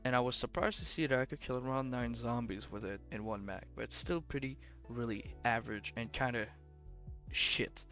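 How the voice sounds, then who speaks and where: A man's voice: mid-range voice, moderate pace, fairly bright tone, faint music behind. Rambling young man ranking game guns. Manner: rambling, self-correcting, offhand. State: voice worn from long recording, sleepy. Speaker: gaming commentator ranking weapons. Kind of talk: casual gameplay commentary.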